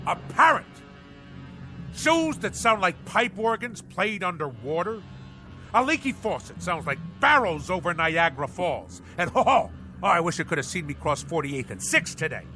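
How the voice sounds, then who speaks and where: An actor playing a pompous, irascible middle-aged boss in a radio drama, blustering in nautical turns of phrase like a sea captain. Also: male voice, sits high, big pitch swings, moderate pace, bright, forceful tone, exaggerated voice. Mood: indignant, pompous. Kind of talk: theatrical radio drama acting. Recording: radio drama with music underneath.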